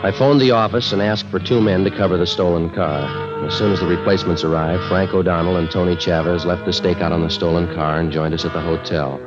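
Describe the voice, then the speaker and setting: An actor playing a terse, no-nonsense police detective in a 1950s radio drama, speaking in a clipped, deadpan way. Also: male voice, low-pitched, fairly quick, hardly any pauses, close to an everyday voice. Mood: deadpan, grave. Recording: dull vintage radio recording with music.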